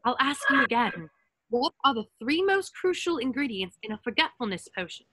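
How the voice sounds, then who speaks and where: A woman's voice: a little high, very animated, moderate pace, noisy background. A woman playing a bossy, studious schoolgirl witch in a scripted read, prim and precise. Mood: exasperated. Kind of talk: scripted table read acting.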